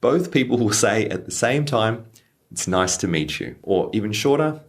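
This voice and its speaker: Male English tutor, deep and animated, clear for learners. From Australia. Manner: lively, stresses example phrases slowly. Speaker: English teacher. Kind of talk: clear teaching explanation for learners.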